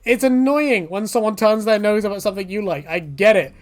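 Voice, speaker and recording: A man's voice: sits high, a little fast. A man giving casual, rambling opinion commentary in a jokey, conversational manner, often slipping into mock quotes. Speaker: video creator giving commentary.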